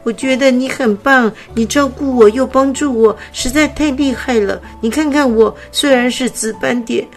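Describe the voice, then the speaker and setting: A woman voicing a frail little grey butterfly. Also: gravelly. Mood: gentle, chirpy. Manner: high childlike, frail and breathless. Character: small sickly female-voiced grey butterfly. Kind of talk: storybook character voice.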